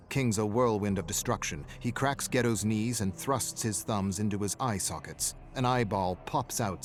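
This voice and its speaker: A man giving a dramatic, brooding narration of dark fiction in terse, clipped phrases, like game lore. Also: lowish voice. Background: background music.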